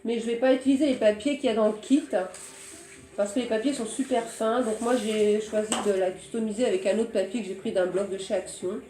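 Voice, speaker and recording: A hoarse woman chatting casually about her shopping haul and crafts, often pausing to search for words. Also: fairly high pitch, fairly even intonation, mellow, roomy sound. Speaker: crafting vlogger.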